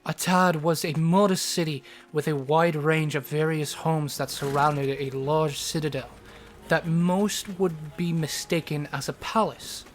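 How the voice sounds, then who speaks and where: A man's voice: a little high, fairly bright tone. A man reading scripted narration for a fantasy audio story as its narrator. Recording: music behind the voice. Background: music.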